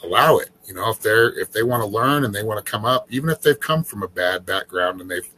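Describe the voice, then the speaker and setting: A man's voice: on the low side, lively intonation, fast, pushed and bright, a little hiss or hum, poor audio. A man in his forties who grew up in a small town, hosting an earnest, casual conversation about spirituality and now and then swearing to make a point. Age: 40s. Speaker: spiritual host.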